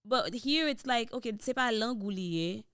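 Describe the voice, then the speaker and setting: A young woman from Haiti with a Haitian Creole accent, a podcast guest telling her story in relaxed chat and slipping into Creole, on a studio boom mic. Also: moderate pace, clear, resonant tone, dry recording. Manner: switches into Creole mid-sentence, relaxed storytelling. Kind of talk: casual interview chat. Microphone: boom-mounted studio mic.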